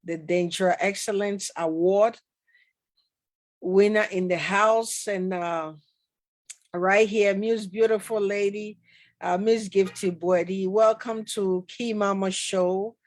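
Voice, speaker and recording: A woman's voice: mid-range voice, steady tone, slow, a little mellow. Chatty woman hosting a talk show. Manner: chatty, repeats welcomes, many fillers. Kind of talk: casual interview hosting.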